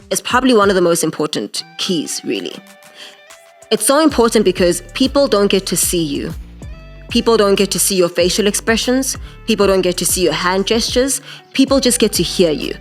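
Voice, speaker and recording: A young woman with a deep voice teaching podcasting in a masterclass, earnest and direct, often repeating words as she thinks. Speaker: podcasting instructor.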